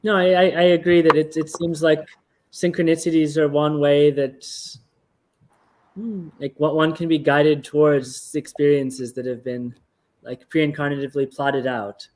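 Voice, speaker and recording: Male discussion participant, slightly raised pitch, reflective, tentative, careful wording. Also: level tone, normal speed, darkish tone, a little hiss or hum.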